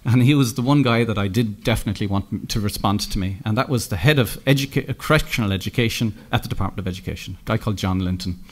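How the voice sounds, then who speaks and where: A middle-aged Irish man giving a conference keynote, telling anecdotes with lots of halting restarts and self-corrections. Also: fairly low pitch. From Ireland. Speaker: keynote speaker. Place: conference room, indoors.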